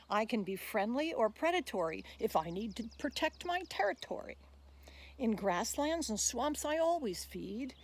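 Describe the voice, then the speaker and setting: A woman voicing a funny-looking, stocky tapir in a rhyming picture book, friendly but protective and pleading, with emphatic singsong delivery. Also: fairly high pitch.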